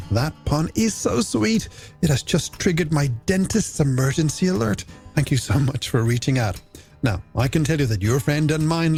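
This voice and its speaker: A man's voice: on the high side, creaky, darkish tone. A man hosting late-night music radio, reading out listener messages in playful, flowery, alliterative patter on a studio microphone. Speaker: radio host. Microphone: studio microphone on a stand.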